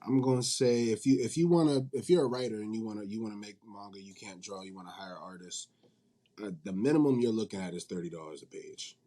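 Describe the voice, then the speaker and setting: A man's voice: on the low side, quick pace, mellow. A man speaking informally as an interview guest, colloquial and repeating points for emphasis. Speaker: guest, indie manga publisher and company CEO. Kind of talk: casual interview chat.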